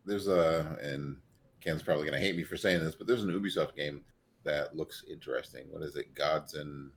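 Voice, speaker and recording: Deep voiced dude on a podcast, laid back, lots of ums, laughs easy. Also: male voice, normal speed, slight background noise. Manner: relaxed, laughs easily, filler-heavy. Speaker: podcast co-host. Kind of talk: casual chat.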